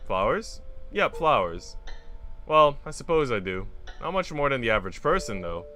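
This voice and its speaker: A man's voice: medium pitch, big pitch swings, moderate pace, bright, forceful tone, voice pushed up. A man reading as a friendly young woman, casual. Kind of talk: visual novel dialogue read aloud. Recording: music behind the voice.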